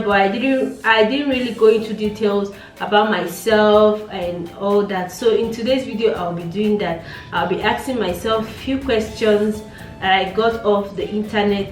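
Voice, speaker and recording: A woman's voice: mid-range voice, echoey room, distant mic. A Nigerian woman in her late twenties with a Nigerian accent, chatting casually in a get-to-know-me vlog, musing aloud and repeating herself. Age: late 20s. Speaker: beauty vlogger.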